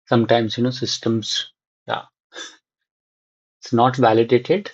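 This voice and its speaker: A man with a husky voice talks through a software task as an instructor, in halting fragments as he thinks aloud. The recording is dry and somewhat dull. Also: medium pitch, flat delivery, dry recording. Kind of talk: unscripted screen-share walkthrough.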